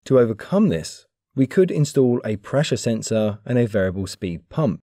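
Man, scripted technical narration, mellow tone, dry voice-over, measured delivery. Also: medium pitch, big pitch swings, no echo. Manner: clear, measured, instructional. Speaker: engineering educator.

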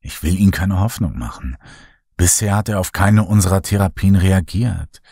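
A man's voice: sits low, moderate pace, warm tone, husky, dry recording, studio quality. A man reading as a composed sanatorium doctor. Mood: sober. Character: calm older male sanatorium doctor.

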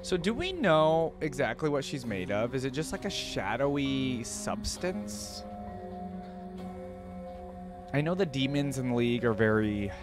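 A man's voice: medium pitch, big pitch swings, unhurried. A young adult man giving casual reaction commentary as the host of a video. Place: indoor desk setup. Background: game theme music.

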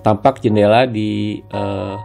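A man's voice: on the low side, steady tone, slow pace, vocal fry, darkish tone. A man narrating a walk-through house tour, explaining each step as he presents the property. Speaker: real estate agent presenting a house tour. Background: plucked guitar music.

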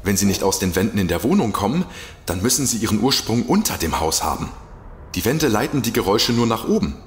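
An actor playing a nervous, reluctant investigator in an audio drama, hesitant and stammering. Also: male voice, lowish voice, big pitch swings, fast talker. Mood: curious, wary. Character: nervous, reluctant male investigator, easily spooked. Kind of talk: German audio drama acting. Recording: echoey reverberant room with background noise.

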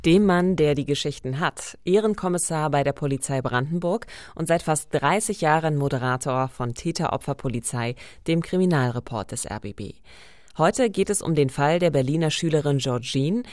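A woman's voice: low-pitched, expressive, moderate pace, darkish tone, no room sound, clean studio sound. A woman presenting a crime podcast as co-host, introducing and explaining.

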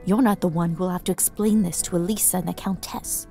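A woman's voice: on the low side, fairly even intonation, fast, gravelly, dark tone. A woman playing a hard, self-serving vampire guest in a video game, curt and scornful. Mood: cold, accusing. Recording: music behind the voice.

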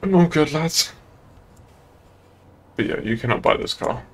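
A man's voice: fairly high pitch, animated, unhurried, fairly bright tone. A young British man chatting casually and laddishly on a gaming livestream. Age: young adult. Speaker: game streamer. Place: indoor room at a streaming desk.

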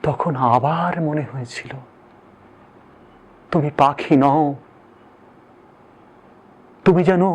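A man giving a dramatic poetry recitation in a solemn, deliberate manner with weighty pauses. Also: a little high.

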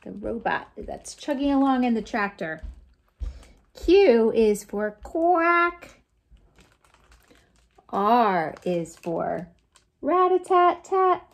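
Slow, animated American woman reading to children. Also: fairly high pitch, gravelly. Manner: animated, sing-song, with playful sound effects. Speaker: children's librarian, storytime host. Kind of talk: read-aloud storytime for young children. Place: small lamp-lit room at home.

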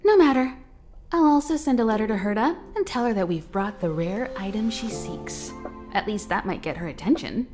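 An actress playing a poised, mature woman crew leader in an anime game, calm, measured and decisive. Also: mid-range voice, soft background music, lightly acted. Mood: resolved.

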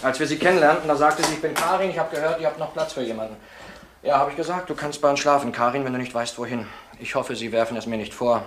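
An actor playing a calm, quietly defiant young man who quibbles pedantically over words in a German radio crime drama. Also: medium pitch, quick pace, lightly acted. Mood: reserved, quibbling. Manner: pedantic, philosophical, answers questions with questions. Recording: roomy echo, noisy old radio recording.

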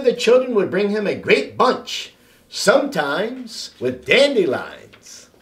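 A middle-aged man reads a children's story in a high voice that swings widely up and down. His delivery is theatrical and deliberate, and the room adds a clear echo. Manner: expressive, theatrical, deliberate phrasing. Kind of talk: animated read-aloud for children. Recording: roomy, echoing sound.

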